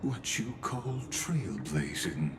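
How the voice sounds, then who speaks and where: An ominous, knowing game character. He speaks extremely slowly and gravely, in a low but widely swinging voice, over music. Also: big pitch swings, full character voice. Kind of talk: English game voice acting. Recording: music behind.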